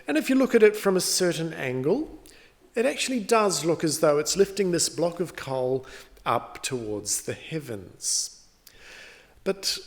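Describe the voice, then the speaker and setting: A middle-aged man, a pastor giving a sermon in a conversational, storytelling way. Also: fairly high pitch. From New Zealand.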